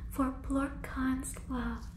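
A woman's voice: sits high, little pitch movement, drawn-out words. A young woman playing an earnest, awkward alien in an ASMR sitcom, with stilted, formal, whispery delivery. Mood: encouraging, proud. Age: young adult. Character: earnest, awkward female alien examining a supposed cow. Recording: roomy echo, faint background noise.

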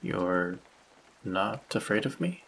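Guy doing a kind polite vampire, low gravelly voice, slow n soft, teasing, audio roleplay in the rain. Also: very animated, a little hiss or hum, exaggerated voice, poor audio. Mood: kind, slightly wistful. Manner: soft-spoken, solicitous, politely old-fashioned. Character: kindly, courteous male vampire, gentle and teasing. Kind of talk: intimate scripted audio roleplay addressed to listener. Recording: close voice with rain sound effects. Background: rain sound effects.

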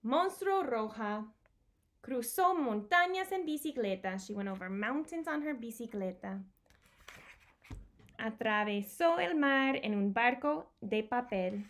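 A woman's voice: fairly high pitch, lively intonation, slow pace. A woman in her thirties presenting a bilingual picture-book storytime for young children, expressively explaining and repeating key words. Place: small home room with bookshelves, indoors.

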